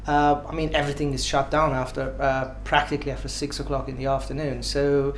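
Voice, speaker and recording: A man in his forties with an Iranian accent answering interview questions, pausing often on filler sounds. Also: medium pitch, flat delivery, a little hiss or hum. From Iran. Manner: hesitant, many filled pauses. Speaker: dentist interviewee.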